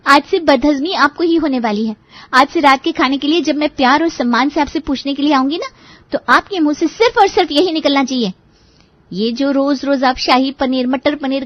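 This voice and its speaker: A woman's voice: high voice, no room sound, lo-fi sound, lightly acted. A woman voicing a cunning, domineering young wife in a family cartoon, hectoring and mocking. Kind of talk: Hindi family cartoon voice acting.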